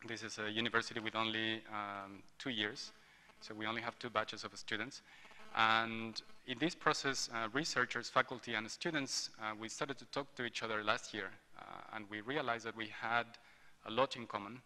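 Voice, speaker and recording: A man's voice: fairly low pitch, steady tone, normal speed, pushed and bright. A man in his forties from Mexico, an industrial designer giving a hesitant panel talk at a conference table mic, with many ums and restarts. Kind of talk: panel presentation. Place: large hotel ballroom roundtable. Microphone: table gooseneck mic. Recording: long hall reverb.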